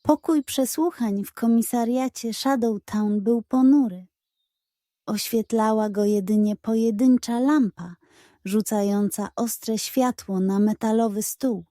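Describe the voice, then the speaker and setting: Female storyteller for children's detective tales: unhurried, soft-toned, suspenseful, recorded dry. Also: a little high, no echo.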